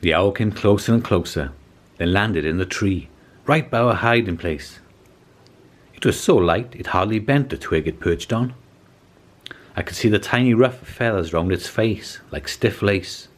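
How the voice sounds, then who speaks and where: A man reading a children's story aloud as scripted narration, in an expressive storytelling manner. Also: lowish voice, moderate pace. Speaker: storybook reader.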